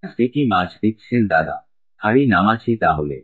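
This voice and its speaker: Low-voiced eager fish trader, a man acting. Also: very animated, moderate pace, slightly rough, dry recording, narrow, tinny audio, exaggerated voice. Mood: eager, businesslike. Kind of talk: Bengali cartoon story acting.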